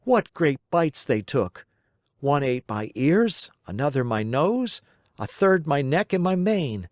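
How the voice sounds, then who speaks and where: A man's voice: a little high, very animated, slow pace, no room sound, clean studio sound, pitched above the performer's own voice. A man voicing a cheeky young wooden puppet boy in a storybook reading, in a playful, raised voice. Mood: storytelling.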